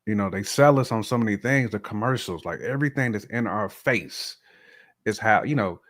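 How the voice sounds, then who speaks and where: This is an American man with a fairly deep voice. He talks in a colloquial, conversational podcast style. His delivery is emphatic, with regular check-ins to make sure he is followed. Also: normal speed, fairly bright tone. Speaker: podcast guest or co-host discussing relationships. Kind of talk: casual conversational podcast talk.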